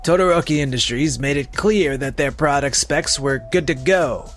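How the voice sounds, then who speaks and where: A man acts out an evasive salesman in a manga-style drama dub. He speaks defensively at a middling pitch, explaining himself and shifting blame. Music plays behind him. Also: normal speed, fairly bright tone, lightly acted. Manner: defensive, excuse-making. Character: evasive young salesman making excuses to his boss. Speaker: sales representative character. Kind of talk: scripted manga dub voice acting.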